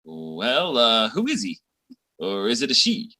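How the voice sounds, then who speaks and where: A man's voice: mid-range voice, huge pitch swings, unhurried, pushed and bright, no echo, studio quality, full character voice. A man playing a cocky, boastful but cowardly boyfriend, slangy and swaggering, in an old-time radio comedy.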